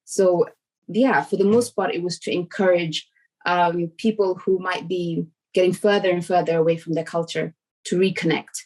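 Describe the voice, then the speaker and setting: A woman from Somalia answers questions on a video call with an earnest, thoughtful manner. Her voice sits low for a woman. She pauses now and then with a gentle "um". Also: fairly even intonation, rough recording. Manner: earnest and reflective, with soft "um" fillers. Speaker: guest. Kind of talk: casual interview answers.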